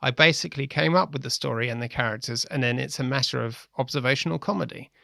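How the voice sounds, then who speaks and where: Gravelly man telling stories. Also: mid-range voice, quick pace, bright, forceful tone, no echo, clean studio sound. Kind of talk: reading quoted first-person anecdotes.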